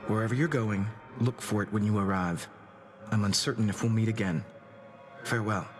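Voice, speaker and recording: Man playing a low, solemn god of doom. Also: lightly acted. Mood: solemn, regretful. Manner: formal, measured, grave. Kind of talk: video game voice acting. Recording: music under the voice.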